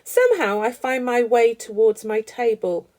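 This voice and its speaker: A woman reading a children's story aloud with animated, dramatic emphasis. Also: on the high side, normal speed. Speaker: storybook reader.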